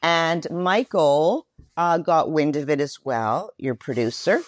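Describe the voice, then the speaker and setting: A woman's voice: deep voice, takes it slowly, no room sound, studio quality. A mature woman giving a casual interview as a guest, effusive and reflective about her life and work. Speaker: guest, writer, director and voice performer.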